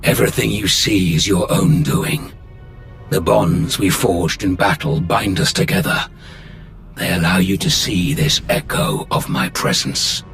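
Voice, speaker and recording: An actor playing a menacing male Sith lord with a deep gravelly voice, speaking in a measured, ominous way over game music. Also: a bit bright, exaggerated voice. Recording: game soundtrack under voice.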